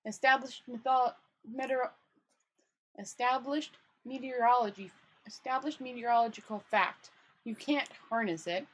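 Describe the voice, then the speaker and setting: A woman's voice: a little high, a little slow, fairly bright tone, slightly rough, rough recording. A woman voicing a small, cheeky, matter-of-fact imp in a storybook, blunt and literal-minded. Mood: patronizing. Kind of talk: hobby storybook read-aloud.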